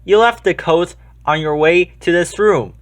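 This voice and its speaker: A man's voice: high voice, a little slow. Eager young schoolboy, read by a man. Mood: eager, helpful. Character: helpful, keen young schoolboy classmate.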